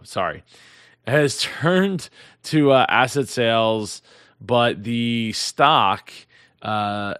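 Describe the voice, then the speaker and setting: A hoarse man with a lowish voice riffs on business headlines, trailing off and restarting his sentences often. His close, dry mic leaves no room sound at all. He talks casually, as if to regular viewers. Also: fairly bright tone, no echo. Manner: rambling, many restarts and fillers. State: losing his voice. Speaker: business news host. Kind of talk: casual news commentary.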